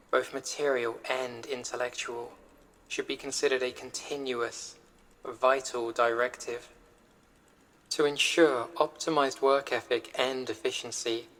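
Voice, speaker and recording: A man voicing an impassive corporate AI in an audio roleplay, reciting directives in a formal, measured, impersonal way. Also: medium pitch, a bit bright, low-quality recording. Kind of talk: scripted audio roleplay announcement.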